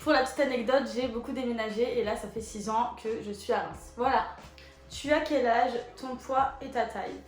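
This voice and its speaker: A woman in her twenties, a photo model, chatting casually as she answers viewer questions, with lots of relaxed fillers. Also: on the high side, normal speed. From France. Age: 20s. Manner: chatty and relaxed, with many fillers like 'du coup' and 'voilà'. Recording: echoey room with faint background music.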